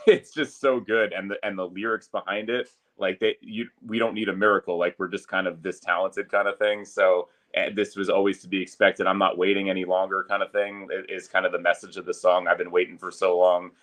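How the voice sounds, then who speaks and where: A man's voice: low-pitched, level tone, brisk, hardly any pauses, fairly bright tone. A young man who works as an arena announcer, answering podcast questions in an enthusiastic, loose, chatty way full of fillers. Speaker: arena public address announcer, guest. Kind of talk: casual podcast interview.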